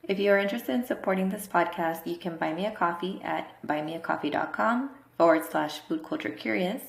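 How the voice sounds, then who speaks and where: A woman's voice: fairly low pitch, brisk, creaky. A woman podcast host, herself a dietitian, asking questions and affirming her guest in a casual interview style.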